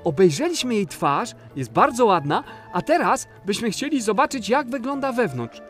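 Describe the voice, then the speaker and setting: A performer playing an excitable, mischievous little boy in a children's audio play, eagerly blurting things out. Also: mid-range voice, normal speed, faint music behind, lightly acted. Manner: eager, blurting questions and exclamations.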